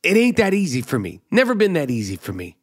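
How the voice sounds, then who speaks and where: A man with a notably high voice talks through a loose comedic monologue as a podcast host. He rambles, swears freely and pokes fun at himself. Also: huge pitch swings, fast. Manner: rambling, profane, self-mocking.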